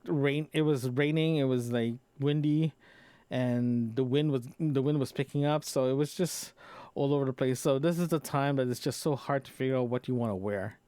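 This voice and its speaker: A man recording a casual, unscripted solo audio log, talking in a rambling, halting way and often rephrasing himself. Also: mid-range voice, mellow, clear, resonant tone, no echo. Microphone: close microphone into a recorder, compressed.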